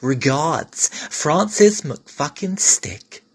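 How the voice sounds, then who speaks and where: A man's voice: on the high side, expressive, extremely slow, husky, heavily acted. Man acting a falsely polite customer service worker. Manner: scripted politeness, passive-aggressive.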